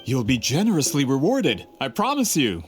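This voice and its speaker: A man's voice: medium pitch, huge pitch swings, moderate pace, faint music behind. An actor playing a polite, persuasive male book publisher in a video game. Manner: formal, courteous, salesman-like.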